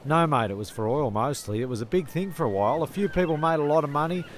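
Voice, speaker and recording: A man's voice: fairly high pitch, expressive, fast, lightly acted. A man playing a laid-back, literal-minded mate in an audio comedy, talking in a blokey, matter-of-fact way. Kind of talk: comedic audio drama dialogue.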